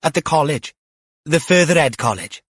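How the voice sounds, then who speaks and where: A man's voice: on the high side, expressive, normal speed, fairly bright tone, husky, no room sound, studio quality, pitched above the performer's own voice. A man voicing a chatty, protective older woman neighbour in an audiobook, with a sing-song, emphatic delivery. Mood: gossipy.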